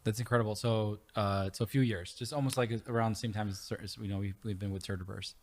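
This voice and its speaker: Man, interview host, lowish voice, rambling speech with frequent "you know.". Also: fairly even intonation, fast talker, darkish tone. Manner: rambling, filler-heavy, friendly questions. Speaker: podcast host. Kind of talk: conversational interview.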